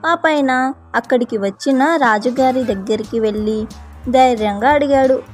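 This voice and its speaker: A woman narrates a children's folk tale from a script in a high-pitched voice. Faint music plays behind the storytelling. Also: normal speed.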